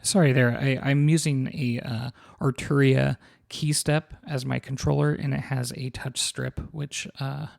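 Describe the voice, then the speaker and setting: Brief: hoarse male reviewer, gravelly fry, loose filler-heavy delivery, home-studio walkthrough. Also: mid-range voice, unhurried. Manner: relaxed, filler-heavy, restarts sentences. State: hoarse, scratchy voice. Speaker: sample library reviewer. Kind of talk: casual product walkthrough.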